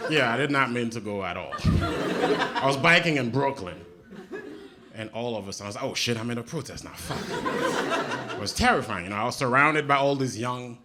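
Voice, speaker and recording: A Haitian man with Haitian Creole as his first language works a comedy club crowd, his pitch swinging widely as he leaps into squeaky mock voices for punchlines. His voice comes through a handheld stage mic over loud hiss and bursts of audience laughter. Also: unhurried, long pauses. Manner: animated, jumps into high mock voices for punchlines. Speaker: stand-up comedian. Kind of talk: stand-up comedy set.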